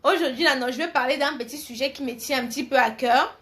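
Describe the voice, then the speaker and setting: High-pitched, bright-voiced woman live-streaming. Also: quick pace. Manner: chatty, with repeated words and playful address to viewers. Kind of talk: casual live-stream chat to viewers.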